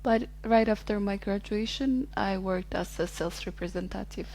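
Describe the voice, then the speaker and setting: A woman's voice: mid-range voice, moderate pace, a little mellow, slight background noise, poor audio. Easygoing woman in her twenties. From Ethiopia. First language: Amharic. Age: 20s. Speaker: podcast guest. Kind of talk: casual interview chat.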